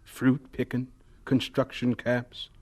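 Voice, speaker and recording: An actor playing a humble elderly professor looking back on his life in a radio drama, gentle and wistful. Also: mid-range voice, monotone, slow pace, breathy edge.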